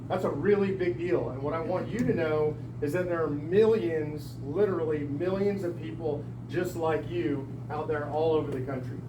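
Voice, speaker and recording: A man giving a rousing, folksy talk to a packed town hall, projecting his voice with emphatic, loud delivery. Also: a little high, moderate pace, hardly any pauses, noisy background. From lives in Texas. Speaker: political speaker at a town hall. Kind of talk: rousing public speech. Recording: echoey hall recorded from a distance.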